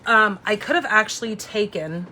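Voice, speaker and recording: A woman in her forties hosting a casual live craft demo, chatty and thinking out loud as she works. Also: medium pitch, expressive, normal speed, background noise. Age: 40s. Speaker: crafting host and instructor.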